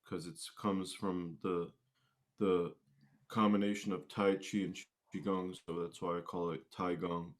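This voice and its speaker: A man with a low-pitched voice speaks as a guest in a casual interview. His phrasing is hesitant and halting, in an unscripted conversational style. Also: level tone. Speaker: guest, musician and martial arts instructor.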